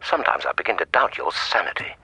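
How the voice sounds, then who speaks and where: Irritable, animated forensic scientist. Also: male voice, lowish voice, fast talker, gravelly, breathy edge, no echo, heavily acted, low-quality recording. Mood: exasperated, sarcastic. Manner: sarcastic, exasperated, emphatic. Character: irritable, sarcastic male forensic scientist, overworked and exasperated. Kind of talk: radio drama dialogue.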